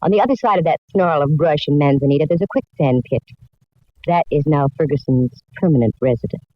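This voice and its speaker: A woman's voice: deep voice, brisk. A woman playing a shrewd, wry, self-assured private detective in a 1940s radio drama, laying things out with wisecracks. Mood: confident, revealing. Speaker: lead actress and narrator. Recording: dull vintage radio recording.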